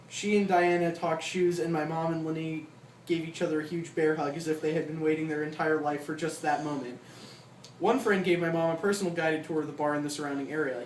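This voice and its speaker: An informal reader works through a comic memoir aloud, mid-pitched and given to asides. The voice sits at a distance from the mic in an echoey room, with clear noise in the background. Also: darkish tone. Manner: informal, breaks off with asides and chuckles.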